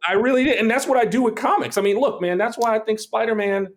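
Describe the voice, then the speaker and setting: A man's voice: high voice, fast. A man from Brooklyn in a casual podcast chat, playful and self-mocking. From Brooklyn, New York. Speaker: podcast guest, film director.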